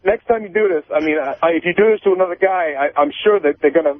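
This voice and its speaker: A man's voice: high voice, racing, background noise. Male caller, tinny telephone sound, loose rambling storyteller, repeats himself, swears freely. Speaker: radio show caller. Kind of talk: casual personal storytelling. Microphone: phone. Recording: tinny phone line.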